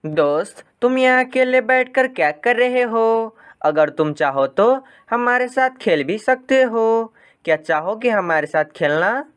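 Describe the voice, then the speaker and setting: Narrator as friendly high-pitched boy bird. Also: lively intonation, measured pace, fairly bright tone, clean tone. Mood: inviting. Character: friendly, generous rich young boy bird.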